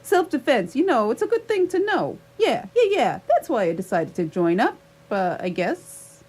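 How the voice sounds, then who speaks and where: A nervous, evasive thirty-something man in a radio comedy, acted by a woman. The voice is high and jumps around in pitch. He hems, haws and stammers through his sentences. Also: very animated, darkish tone, slightly rough. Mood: flustered, defensive. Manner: halting, stammering, rambling. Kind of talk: radio theatre comedy acting.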